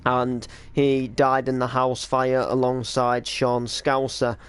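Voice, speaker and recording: A man's voice: mid-range voice, completely flat delivery, slow pace, clean tone, dry recording. A man reminiscing casually on a stream as the creator of an online drama, explaining storylines in an informal, rambling way.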